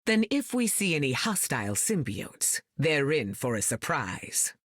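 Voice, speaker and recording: Proud weather goddess type for a game, composed and stately, swooping pitch, dry recording. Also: female voice, big pitch swings, no echo, heavily acted. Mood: proud, wry. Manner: stately, measured, dry wit. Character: regal, composed weather-controlling mutant heroine. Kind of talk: video game character lines.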